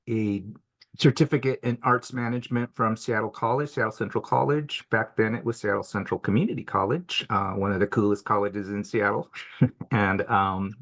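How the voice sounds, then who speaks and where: A middle-aged man, born in Mexico and raised in Washington State, a program manager introducing himself on a video call from an office, relaxed and halting with many fillers. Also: on the low side. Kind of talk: webinar self-introduction. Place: office at home or work. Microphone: computer mic on a video call.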